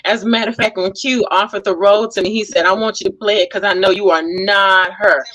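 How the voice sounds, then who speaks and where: A woman hosting a lively, casual group chat, teasing and exclamatory as she talks. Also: medium pitch, fast, no echo. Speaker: talk show host and stage play actress.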